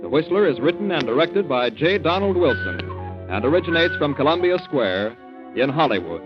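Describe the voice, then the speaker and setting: Old-time radio announcer guy, formal, very animated, muffled recording, music behind. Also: a little high, a little fast. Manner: formal, emphatic. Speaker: network announcer. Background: loud theme music.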